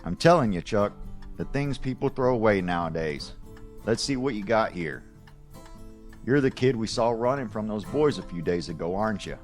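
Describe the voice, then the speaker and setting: A man's voice: on the low side, expressive, moderate pace, close to an everyday voice. Guy acting stern folksy boss man in an audio drama, bossy, guitar music behind him. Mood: probing, folksy. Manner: folksy, domineering. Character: stern Southern gang boss, commanding man named Mister Lee.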